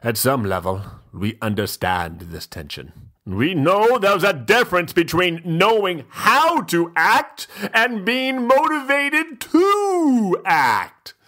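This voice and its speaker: A man playing a pompous, fussy, self-indulgent gentleman host who gives mock-literary dramatic readings with drawn-out, theatrical pauses. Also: sits high, sing-song, exaggerated voice. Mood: grandly dramatic.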